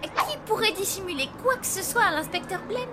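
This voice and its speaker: A woman's voice: very high voice, expressive, fairly quick, background noise. A woman voicing a skeptical, teasing young zombie sister in a French cartoon. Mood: evasive.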